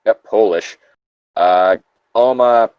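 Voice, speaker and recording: A man's voice: on the low side, takes it slowly, clear, resonant tone, no room sound, lo-fi sound. A man co-hosting a comic read-aloud, bantering casually and swearing with mock indignation. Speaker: co-host reading video game creepypastas aloud.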